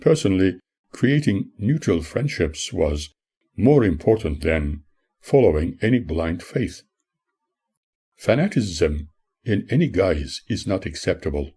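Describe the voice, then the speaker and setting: Older man, low husky voice, lively intonation, formal measured memoir narration. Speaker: author reading own memoir. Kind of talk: scripted audiobook narration.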